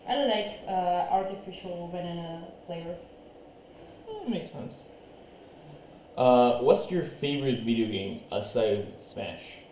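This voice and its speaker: A man's voice: a little high, expressive, unhurried, darkish tone. A man hosting a podcast, chatting casually in a laid-back way and chuckling between questions. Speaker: podcast host. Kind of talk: casual interview chat. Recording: very echoey room with faint noise.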